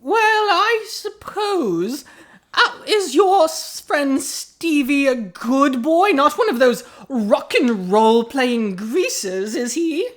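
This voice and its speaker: High, fussy ninety-year-old grandma. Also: female voice, expressive. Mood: prim, disapproving. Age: 90s. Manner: prim, old-fashioned, drawn-out phrasing. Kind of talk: improvised comic radio play acting.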